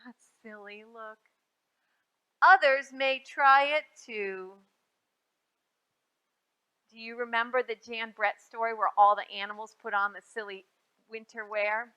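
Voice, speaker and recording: A woman hosting a storytime for young children, reading aloud in a sing-song way with drawn-out, emphasized phrases and simple prompts to her listeners. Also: fairly high pitch, steady tone, pushed and bright, clean tone, poor audio. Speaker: children's librarian and storytime host.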